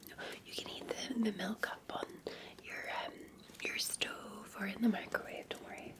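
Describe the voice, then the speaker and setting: Whispering Scottish woman, soft ASMR barista. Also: mid-range voice, level tone. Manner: gentle, soothing, unhurried. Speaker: ASMR performer playing a cafe barista. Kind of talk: ASMR roleplay, unscripted-sounding chat to the listener.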